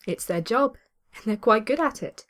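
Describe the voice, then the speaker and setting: A woman playing a kind, gentle young woman who is good with children, in an amateur audio drama. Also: a little high, lively intonation, moderate pace. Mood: friendly.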